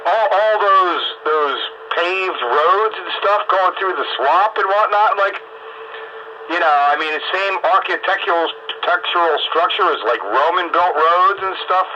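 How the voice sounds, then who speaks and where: A man's voice: high-pitched, a little slow, bright, forceful tone. A man chatting casually in a folksy, opinionated way, with salty colloquial phrasing and emphatic rhetorical questions. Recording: muffled lo-fi radio audio, clear background noise.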